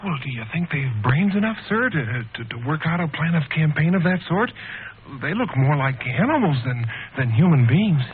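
An anxious young squadron sidekick, fairly high in pitch and respectful. He sounds hesitant and eager, in 1940s radio serial style on a muffled old recording. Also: very animated, normal speed, slight background noise.

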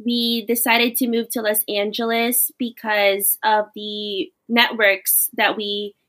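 A woman's voice: medium pitch, level tone, slow, a bit bright. A young woman from the Philippines who works as a teacher, telling her story earnestly as a podcast guest. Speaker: guest, teacher and doctoral student. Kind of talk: podcast interview.

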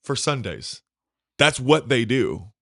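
A man hosting a conversation with a deep bass voice, effusive and emotional as he talks. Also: expressive, takes it slowly, a bit bright. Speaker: podcast host. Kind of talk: casual conversation.

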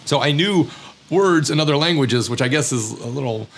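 A man's voice: on the high side, animated, normal speed, creaky. An American man talking in a candid, self-deprecating way, with many fillers and restarts, about his own experiences as a teacher living abroad. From United States. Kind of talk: reflective personal monologue.

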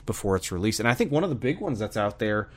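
Male panellist, slightly low voice, casual and halting delivery, very dry recording. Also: very animated, no echo. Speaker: podcast panellist. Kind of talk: casual podcast chat about video games.

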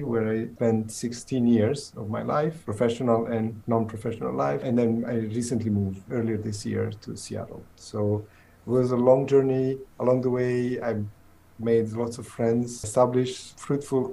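A man's voice: mid-range voice, gravelly, mellow, slight background noise. A middle-aged man from southern Italy with an Italian accent, a theoretical physicist answering interview questions thoughtfully, with many restarts.

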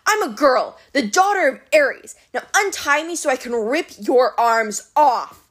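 A woman voicing a brash, defiant teenage warrior girl who yells her insults and demands in an audiobook. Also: high voice, animated, measured pace, pitched above the performer's own voice, exaggerated voice. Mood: furious, defiant. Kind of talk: audiobook character voice.

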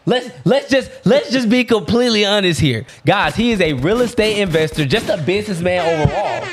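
A man's voice: high voice, animated. Hyped-up slangy podcast host, man. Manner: hyped, slangy, quick to laugh. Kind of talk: casual podcast chat.

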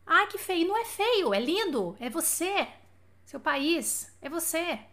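High-voiced chatty Brazilian teacher. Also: female voice, big pitch swings, slow pace, fairly bright tone. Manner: chatty, asks for feedback with frequent "tá?". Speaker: French teacher. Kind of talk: live-streamed language lesson. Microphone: live stream setup.

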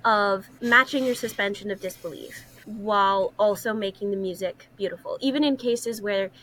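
A young woman with a bright, clear-cut edge to her voice talks casually with her co-hosts. She is earnest and opinionated, at a middling pitch. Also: normal speed, poor audio. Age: young adult. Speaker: podcast co-host, student singer and actress. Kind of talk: casual discussion.

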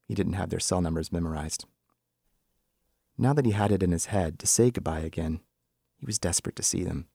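Low-voiced man reading a short story. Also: a little fast, vocal fry, long pauses, mellow, slightly rough, dry recording, clean studio sound. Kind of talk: literary story narration.

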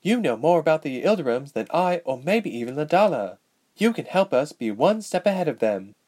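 A man's voice: a little high, sing-song, normal speed, a little mellow, pitched above the performer's own voice. A man voicing a young, petite princess in an audiobook story, impatient and earnest, with an emphatic delivery. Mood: frustrated, pleading. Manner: exasperated, emphatic.

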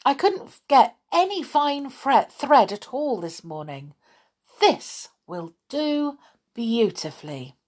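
Woman doing a tiny excited fairy girl voice, high and breathy, storybook reading. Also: slow, heavily acted. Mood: delighted.

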